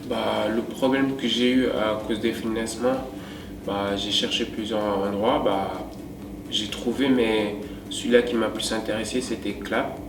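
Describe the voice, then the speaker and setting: Halting young man, low voice, noisy room. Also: fairly even intonation. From Senegal. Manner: simple, halting, with many fillers. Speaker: young football volunteer. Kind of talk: documentary interview. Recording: roomy, distant, noisy background.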